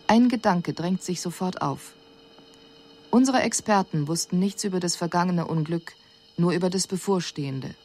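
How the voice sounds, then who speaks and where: An actress playing an alien envoy in a radio drama, giving a formal mission report in a sober, measured and exact way. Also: female voice, lowish voice, a little mellow, lightly acted. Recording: radio drama recording with audible background noise.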